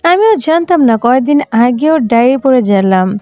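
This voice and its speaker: Female, high but soft-toned, actress as blunt teasing friend, audio drama. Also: sing-song, brisk, clean tone, dry recording, faint music behind. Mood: confiding. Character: teasing, outspoken young woman, best friend. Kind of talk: amateur romantic audio story acting.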